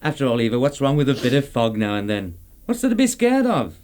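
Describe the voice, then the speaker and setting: Genial husband, very animated. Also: male voice, medium pitch, quick pace. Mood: wry, teasing. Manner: jaunty, bantering, old-fashioned idiom. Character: genial, wry, soothing old-fashioned English husband. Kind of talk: vintage amateur radio drama acting.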